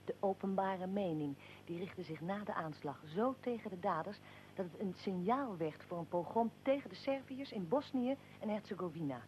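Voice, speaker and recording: A woman's voice: mid-range voice, animated, moderate pace, a little mellow, slight background noise, lightly acted, low-quality recording. An actress playing a composed, inquisitive interviewer in a historical radio drama, politely formal as she probes and explains. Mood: informing.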